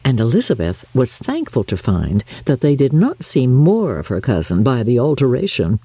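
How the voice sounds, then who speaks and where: An older woman narrates a classic novel in a deep, mellow register with a husky grain. Her delivery is theatrical and measured, swooping widely up and down as she shapes each phrase. The sound is close and dry. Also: no echo. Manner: theatrical, measured, expressive storytelling. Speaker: audiobook narrator. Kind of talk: dramatic literary narration.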